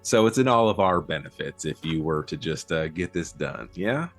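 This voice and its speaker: A man voicing a shady, cigarette-smoking man who asks for dark work with calm, quiet menace. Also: very deep voice, normal speed, faint music behind, exaggerated voice. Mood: curt.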